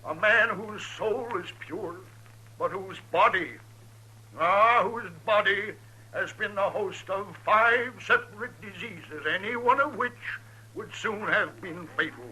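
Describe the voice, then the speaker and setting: High, bright, bombastic medicine-show huckster. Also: male voice, slow pace, slightly rough, heavily acted. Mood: solemn, florid. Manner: grandiose, oratorical sales pitch. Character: bombastic, smooth-talking medicine-show quack professor. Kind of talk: 1950s radio western acting. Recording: noisy old radio show recording.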